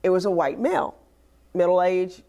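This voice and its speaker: Here is a woman host with a raspy, fairly low voice that has an edge of hardness. Her voice is loud and carries, and she tells stories in a lively, animated way in casual chat. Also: moderate pace. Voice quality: raspy, with some bass and hardness. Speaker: podcast host. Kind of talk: casual chat, telling a story.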